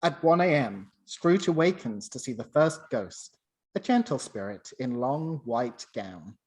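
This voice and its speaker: A man's voice: medium pitch, big pitch swings, a little slow, a little mellow. A man reading scripted dramatic narration for a radio play.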